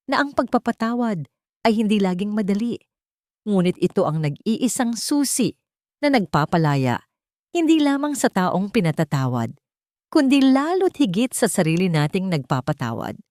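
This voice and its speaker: A woman narrating a scripted sentimental story in a measured, earnest way. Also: mid-range voice, sing-song, a little mellow, dry recording, studio quality. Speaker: storyteller, narrator of audio drama. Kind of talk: scripted dramatic first-person narration.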